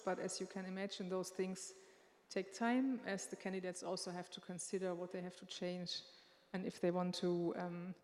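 Woman, church announcer, medium pitch, hesitant with many pauses, long reverb, large church hall. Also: a little mellow. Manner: halting, with many filler pauses. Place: church sanctuary.

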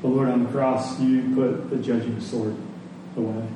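A man preaching a sermon as a pastor, speaking earnestly and deliberately. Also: mid-range voice, level tone. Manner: earnest, rhetorical questions, deliberate pauses. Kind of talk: sermon closing in prayer. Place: large echoey room before a congregation. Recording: echoey hall, distant mic, faint noise.

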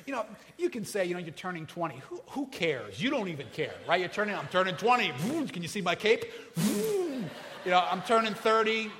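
A man in his forties preaches a sermon with a slightly high voice. He talks conversationally and jokes with the congregation, dropping to a hush and then rising loud. The sound carries a long reverb from the hall. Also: sing-song, a little fast, slight background noise. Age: 40s. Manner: conversational, joking with the congregation, swings from hushed to loud. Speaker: pastor.

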